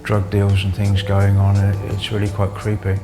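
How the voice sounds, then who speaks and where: A man giving unscripted interview testimony, halting and repeating words as he goes. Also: on the low side, completely flat delivery, over music. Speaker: interviewee, former detained patient.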